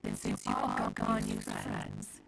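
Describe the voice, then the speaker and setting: A woman's voice: extremely low pitch, completely flat delivery, slow pace, creaky, heavily acted. A woman playing an ancient, dignified adeptus mountain guardian in a video game, speaking in formal, archaic phrasing with fond gravity. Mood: fond, chatty. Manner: archaic, formal, stately phrasing, calling self one. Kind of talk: scripted video game dialogue. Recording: distorted, stuttering game audio with music.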